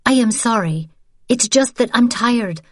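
A tired, defiant young woman talks in sharp, exasperated bursts, as a woman narrator puts her on. Her voice is lifted well above the narrator's and pushed louder, and the sound is dry and close. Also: on the high side, animated, unhurried, dry recording, voice pushed up. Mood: weary.

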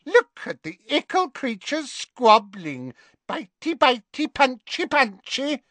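Cackling storybook poltergeist, high and teasing, dry close sound. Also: male voice, sing-song, slow pace, fairly bright tone, no room sound, heavily acted. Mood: gleeful. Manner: taunting, sing-song. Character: cackling mischievous male poltergeist. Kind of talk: audiobook character voice.

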